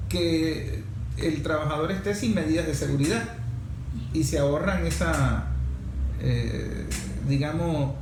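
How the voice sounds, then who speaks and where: A man's voice: a little high, a little mellow. A man with a Venezuelan accent hosting a conversational interview, asking questions and explaining points in everyday words. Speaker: interviewer, host. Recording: echoey room, faint background noise.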